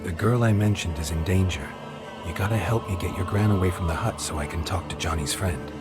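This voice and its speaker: Super deep gravelly guy playing a gruff monster hunter, deadpan and terse, game dialogue w music behind. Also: fairly quick, natural voice. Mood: earnest. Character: gruff adult male monster hunter, patient and stoic. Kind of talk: video game voice acting. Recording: music under the voice.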